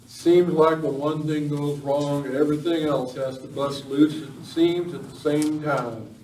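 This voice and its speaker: An amateur actor playing a weary, uneasy cattle ranch owner in a live radio western. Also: male voice, on the high side, steady tone, a little slow, a little mellow, natural voice. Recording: roomy, distant, faint noise.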